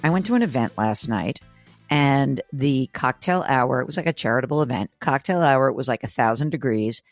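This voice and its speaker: A woman hosting a call-in money advice show, hoarse and raspy today, asking brisk, direct questions. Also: very deep voice, animated, dry recording, soft background music. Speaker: host.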